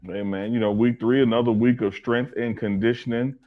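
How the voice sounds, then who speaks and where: A man's voice: mid-range voice, fairly even intonation, brisk, narrow, tinny audio, soft background music. A man from Alabama, co-hosting a casual sports chat, joking and teasing his partner. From Selma, Alabama. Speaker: co-host.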